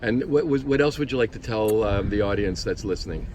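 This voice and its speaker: A man's voice: fairly low pitch, lively intonation, brisk, a little mellow. Chatty man vlogging outdoors on a noisy street. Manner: rambling, hesitant, many fillers. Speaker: travel vlogger, interviewer. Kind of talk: casual travel vlog interview. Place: outdoors on a city street by a building. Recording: outdoor street recording with clear traffic noise.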